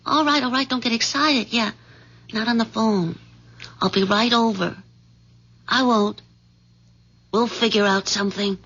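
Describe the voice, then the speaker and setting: An actress playing a scheming, impatient mistress in a 1970s radio drama, commanding and familiar. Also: female voice, a little high, fairly even intonation, a little slow, pauses often, a bit bright, slight background noise. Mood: alarmed, impatient. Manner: commanding, familiar, one side of a phone call. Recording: muffled 1970s radio broadcast recording.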